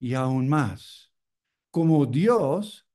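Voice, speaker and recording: A man preaching a sermon in Spanish, a native English speaker, in a slow, deliberate way with repeated phrases. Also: medium pitch, expressive, dark tone.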